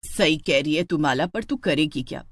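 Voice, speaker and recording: A woman's voice: sits low, expressive, moderate pace, no echo, pitched below the performer's own voice. A woman voicing a caring, worried middle-aged mother in a story, with a fussing, concerned manner.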